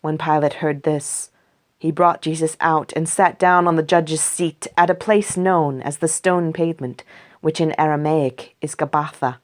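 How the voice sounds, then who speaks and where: A woman reading a scripture story aloud for a church congregation, slow and measured. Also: low-pitched, steady tone. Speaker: scripture reader. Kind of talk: scripted read-aloud narration.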